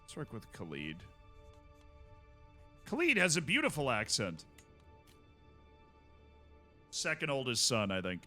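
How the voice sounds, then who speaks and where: A man's voice: medium pitch, huge pitch swings, takes it slowly, long pauses, pushed and bright, soft background music. A man streaming a strategy game, chatting casually with wry asides to himself.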